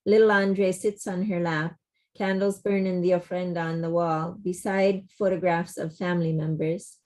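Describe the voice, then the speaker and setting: Low-voiced woman reading stage directions. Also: little pitch movement, moderate pace, darkish tone. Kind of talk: scripted narration.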